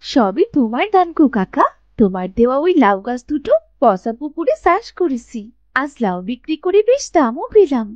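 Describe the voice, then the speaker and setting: A woman voicing a caring, fussing elder sister, a poor young village woman in an animated moral story. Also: high-pitched, normal speed, no room sound, muffled recording. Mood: pleased. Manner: expressive, fussing, motherly.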